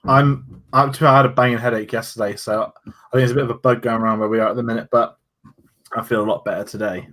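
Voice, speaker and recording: Man, co-host, casual musing talk, medium pitch. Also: fairly even intonation, quick pace, a bit bright. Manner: loose, musing chat with restarts. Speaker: podcast co-host. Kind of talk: casual review chat.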